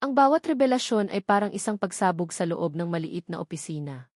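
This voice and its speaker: A woman's voice: on the low side, expressive, a little fast, a little mellow, dry recording. Filipino woman narrating drama, dry sound. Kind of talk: dramatic scripted story narration.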